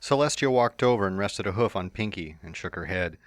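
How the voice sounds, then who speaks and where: Low-voiced man reading a story. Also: animated, fairly quick, no room sound, clean studio sound. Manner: first-person narration with playful smug asides. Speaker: narrator. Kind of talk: fan dramatic reading of a story.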